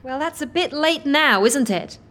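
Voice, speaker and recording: A woman's voice: high voice, lively intonation, measured pace, fairly bright tone. An actress playing a polite, resourceful woman asking strangers for help, courteous and apologetic, in a naturalistic audio drama.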